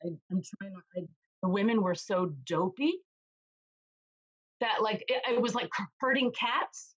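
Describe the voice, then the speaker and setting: A woman real estate agent chatting as an interview guest, with a loud voice, telling stories lively and acting out what others said. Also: mid-range voice, measured pace, husky, highs cut off. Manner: animated storyteller, acts out quoted voices. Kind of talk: casual interview chat.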